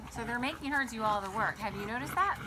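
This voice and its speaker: Female kids' read-aloud host, higher register, animated and question-filled delivery, recorded outside with noticeable ambient noise. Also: quick pace. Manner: animated, addresses young listeners with questions. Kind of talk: storybook read-aloud with chatty asides to children. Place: garden, sitting outdoors by a small table fort.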